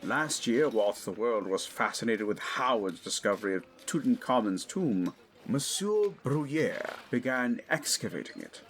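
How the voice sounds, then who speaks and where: An actor playing a learned, eager 1920s English archaeologist and father in an audio drama, middle-aged, speaking in a formal, professorial, deliberate way. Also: fairly low pitch, very animated, fairly bright tone, a little hiss or hum, full character voice, rough recording. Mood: scholarly. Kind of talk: scripted audio drama acting.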